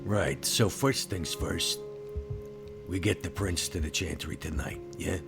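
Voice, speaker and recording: Low, slow, gravelly vampire played by a man. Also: over loud music. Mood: practical, resigned. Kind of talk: actual play roleplay dialogue.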